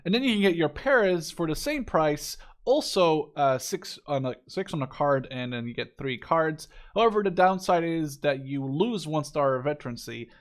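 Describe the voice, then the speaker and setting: A man's voice: on the high side, lively intonation, moderate pace, few pauses. A man in his twenties giving casual, unscripted game commentary, rambling and thinking aloud with enthusiasm. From Canada or the Netherlands. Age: 20s. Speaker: gaming video creator.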